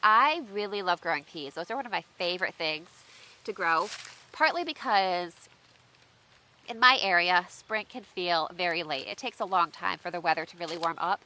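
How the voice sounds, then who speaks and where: A woman with a bright, forward voice chats to her viewers outdoors, a little stuffy and nasal from allergies. She talks easily and keeps asking viewers for their tips. A faint hiss sits under the recording. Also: lowish voice, moderate pace. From United States. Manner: chatty, asks viewers for tips. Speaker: homestead vlogger. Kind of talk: casual unboxing chat to viewers. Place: outdoors under shifting trees.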